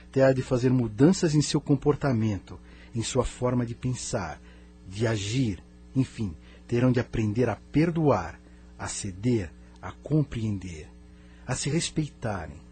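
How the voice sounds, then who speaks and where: A man with a Brazilian accent playing a grave, wise spirit elder from another planet in an audio drama, solemn and measured. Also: medium pitch, warm tone, close to an everyday voice. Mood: solemn, exhorting. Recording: music bed behind the voice.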